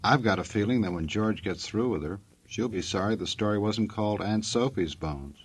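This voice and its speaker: American man, very low husky voice, folksy joking old-time radio announcer. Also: normal speed.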